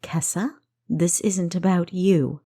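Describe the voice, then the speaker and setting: A woman reading a storybook voices a composed older sister who answers in a measured, resigned way. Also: on the low side, steady tone, dark tone, breathy edge, full character voice.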